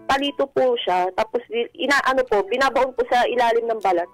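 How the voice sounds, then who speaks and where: A woman's voice: on the high side, a little fast, faint music behind, low-quality recording. A Filipino woman calling in to a radio show, chatting casually in a polite, somewhat hesitant way. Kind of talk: casual chat.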